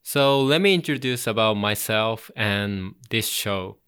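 Bright, clear young Japanese-accented man. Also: lowish voice. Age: 20s. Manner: earnest, halting non-native phrasing with fillers. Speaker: radio host. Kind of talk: casual radio show introduction.

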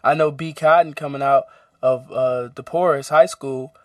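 Brief: young male co-host, soft and measured, a little away from the mic, dry studio. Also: medium pitch, steady tone, dry recording, distant mic. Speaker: radio co-host. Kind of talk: casual sports talk.

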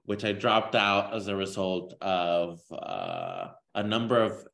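Young guy from kansas, real low voice, earnest and a bit halting as a podcast guest. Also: animated, gravelly, fairly bright tone. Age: 20s. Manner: earnest, thoughtful, halting with restarts. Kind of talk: casual podcast interview.

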